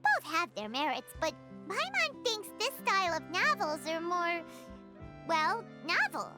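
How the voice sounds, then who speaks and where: Tiny squeaky game fairy sidekick, super high and bouncy, loud music underneath. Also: female voice, very animated, a little slow, exaggerated voice. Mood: eager, chatty. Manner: exclaims, speaks of self in third person. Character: small excitable childlike floating fairy companion, female. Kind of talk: video game cutscene voice acting.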